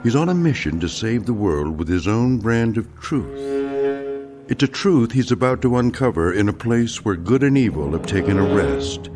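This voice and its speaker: An actor playing a solemn, sardonic narrator of a radio drama, speaking in measured, pointed phrases. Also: male voice, mid-range voice, expressive, over music, lightly acted. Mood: ominous, sardonic. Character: solemn, sardonic male host introducing a doomed character. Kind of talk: anthology series opening narration, radio drama.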